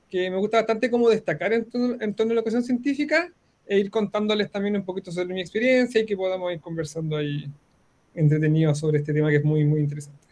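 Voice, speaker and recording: A Chilean man in his thirties, a science educator, explaining his views clearly as an interview guest. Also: sits high, darkish tone. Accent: Chilean Spanish. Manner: articulate, explanatory. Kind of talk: interview answer.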